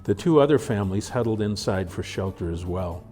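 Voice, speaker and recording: A man narrating his own frontier story at a measured, steady pace. His voice sits low, and soft instrumental music plays quietly behind him. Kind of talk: audiobook narration.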